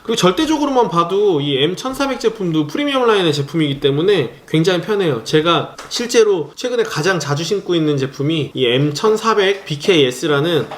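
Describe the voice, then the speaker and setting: A young man giving a calm, detailed sneaker review, showing the shoes as he talks. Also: on the high side, fairly quick, few pauses, slight background noise. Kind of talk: casual product review.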